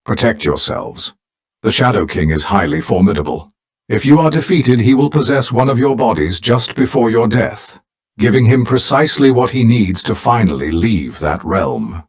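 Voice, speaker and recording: A man's voice: mid-range voice, expressive. A man acting a dignified, formal older mentor who leads a team of heroes in a video game. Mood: solemn warning. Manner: formal, measured, grave.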